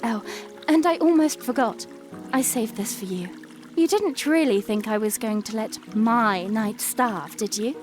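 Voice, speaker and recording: Woman as young princess, high voice, sweet, playful, gracious, formal phrasing, fantasy audio drama, music behind. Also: a little slow, lightly acted. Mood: playful, teasing. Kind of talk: audio drama character acting.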